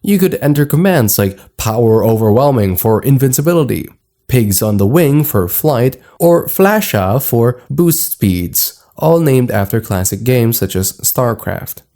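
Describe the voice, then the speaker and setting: Man narrating in a dark, mellow voice. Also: medium pitch, expressive, a little slow. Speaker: video narrator. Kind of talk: scripted explainer narration.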